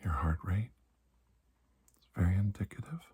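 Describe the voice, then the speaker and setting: A man whispering softly in an ASMR roleplay as a calm, reassuring doctor, speaking in halting, soothing short phrases. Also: low-pitched. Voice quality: breathy, mostly whispered. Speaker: ASMR performer playing a doctor.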